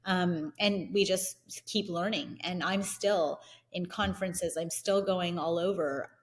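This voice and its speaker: A woman whose first language is Italian, telling her investing story as an interview guest in an energetic, fast, filler-heavy way. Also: lowish voice. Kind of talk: casual interview conversation.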